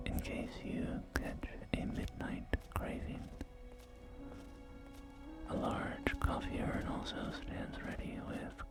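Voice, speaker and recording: Whispering man, slow ASMR real estate agent. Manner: polite, hesitant salesman patter. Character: courteous real estate agent in a post-nuclear wasteland. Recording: whisper under loud background music. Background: music and game audio.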